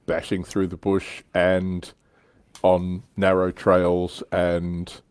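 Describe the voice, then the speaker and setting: A man's voice: deep voice, completely flat delivery, drawn-out words, darkish tone, smooth, no echo. A man hosting a casual hobby livestream, reading out comments and chatting in an informal, wry way. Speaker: livestream host.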